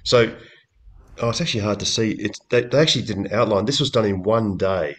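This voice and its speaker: A man's voice: on the low side, brisk. A man in a casual group chat, explaining things in a technical, explanatory way as a podcast panel host.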